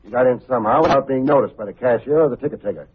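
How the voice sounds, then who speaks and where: An actor playing a cool, wry, hard-boiled private investigator who talks and narrates in old-time radio drama style. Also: male voice, medium pitch, a little hiss or hum, lightly acted. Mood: firm, pressing. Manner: wry, unhurried, wisecracking. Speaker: actor playing the lead detective, also narrating. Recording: muffled narrow-band old radio recording, faint music.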